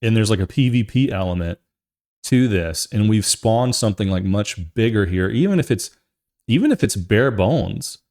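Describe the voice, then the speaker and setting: A man's voice: lowish voice, expressive, moderate pace, darkish tone. A man hosting a gaming show, giving casual, opinionated commentary in a rambling, emphatic way and often repeating his phrases.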